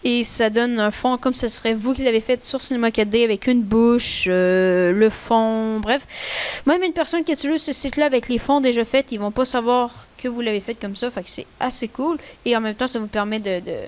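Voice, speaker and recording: A woman with a Québécois French accent, rambling casually through a screen-recorded software tutorial in a chatty, slangy way. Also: fairly high pitch, fairly even intonation, moderate pace, clean tone. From Quebec. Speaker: tutorial maker.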